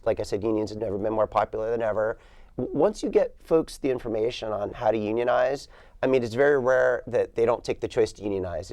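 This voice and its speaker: A man's voice: lowish voice, mellow, dry recording. A man who works as a union organizer, answering interview questions confidently and in rallying phrases.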